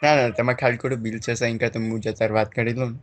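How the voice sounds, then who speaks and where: A man's voice: mid-range voice, little pitch movement, fast, no room sound. Male prank caller, Gujarati accent, mid-range voice, insistent and colloquial. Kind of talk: improvised prank phone call.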